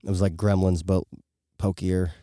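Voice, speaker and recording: A man's voice: deep voice, level tone, normal speed, warm tone, no room sound, studio quality. A man co-hosting a podcast, reacting casually with laid-back, jokey interjections. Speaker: podcast co-host.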